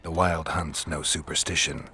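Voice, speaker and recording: An actor playing a stoic male monster hunter in a video game, terse and deadpan with dry, laconic delivery. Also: a bass voice, normal speed, exaggerated voice. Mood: blunt. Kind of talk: video game dialogue acting. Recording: music behind the voice.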